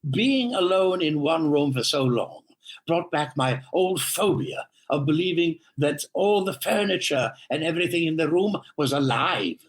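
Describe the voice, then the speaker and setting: An actor playing a droll, neurotic raconteur who rambles drily in 1930s radio comedy style. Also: male voice, on the high side.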